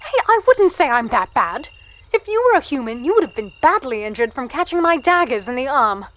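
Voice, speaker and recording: A woman's voice: high voice, very animated, a little fast, a bit bright. A woman playing a plucky, spirited fighter, telling her story in earnest first person in a fantasy audio drama. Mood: feisty banter. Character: plucky, spirited small female fighter and archer.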